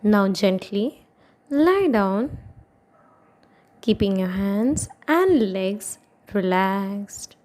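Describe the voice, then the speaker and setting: A woman guiding a meditation as an instructor, giving soothing instructions in short phrases with long pauses between them. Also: mid-range voice, animated, a little mellow. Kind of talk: guided meditation, yoga nidra narration.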